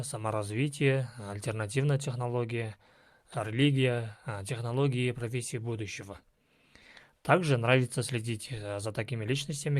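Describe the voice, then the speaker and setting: A man introduces himself to an online class in an earnest, steady way and lists his interests one by one. His voice sounds dry and close, with no room around it. It comes through a video call. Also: medium pitch, no room sound. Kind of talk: prepared self-introduction.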